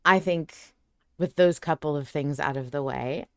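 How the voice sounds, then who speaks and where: Female podcast host, low-pitched and smooth, casual and enthusiastic delivery. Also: normal speed, fairly bright tone, dry recording, clean studio sound. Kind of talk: casual podcast discussion with an introduction.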